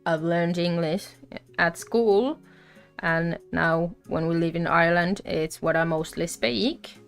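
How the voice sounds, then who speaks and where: Lady hosting a crochet live, chatty w lots of uhs, smooth lowish voice, faint music behind. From Finland. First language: Finnish. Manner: chatty and unhurried, with frequent fillers. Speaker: crochet livestream host. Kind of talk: casual live crafting chat.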